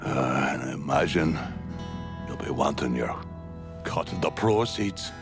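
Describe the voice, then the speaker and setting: A man voicing a hard-bitten older gang boss in a video game cutscene, with a slow, bitter drawl. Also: extremely low pitch, expressive, music in the background. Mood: wry.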